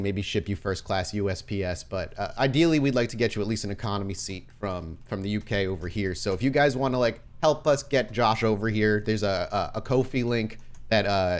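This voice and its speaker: A man hosting a podcast, talking informally and enthusiastically, with a jokey way of making announcements. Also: fairly low pitch. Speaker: podcast host and game storyteller. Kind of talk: casual podcast announcements and banter.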